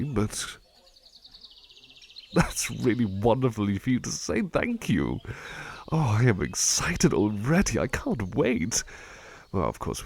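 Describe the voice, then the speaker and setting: A man playing a devoted, polite butler in an ASMR roleplay, eager and gently fussy, murmuring hesitantly and repeating himself reassuringly. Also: fairly low pitch, vocal fry, slightly rough, heavily acted. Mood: delighted, grateful. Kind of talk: ASMR roleplay, soft personal chat.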